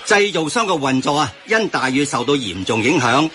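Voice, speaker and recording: Bright, higher-pitched Hong Kong man acting, patiently explaining. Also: very animated, moderate pace, some chatter behind, a little hiss or hum. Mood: explanatory. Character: well-informed man patiently explaining a scandal to friends. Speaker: explainer of news in a radio skit. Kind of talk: Cantonese radio drama banter, explanatory.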